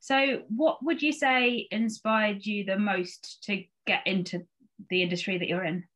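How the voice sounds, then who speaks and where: A woman's voice: mid-range voice, fairly even intonation, a little slow, fairly bright tone, poor audio. Female host, 30s, mid-range voice, conversational interviewing style on a studio mic. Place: small indoor room, video call. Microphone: studio microphone on a stand.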